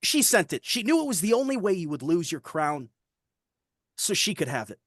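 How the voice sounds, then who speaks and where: Animated, pleading captive played by an actor. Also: medium pitch, moderate pace, no room sound, clean studio sound. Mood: nervous. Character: desperate, tied-up captive man pleading under threat. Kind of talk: screenplay table read acting.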